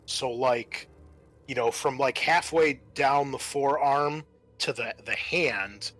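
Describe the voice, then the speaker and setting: A man with a bright, forward voice runs a tabletop roleplaying game as game master. He describes the scene off the cuff in a halting, conversational way, with music playing underneath. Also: medium pitch, poor audio. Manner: conversational, improvised, halting narration.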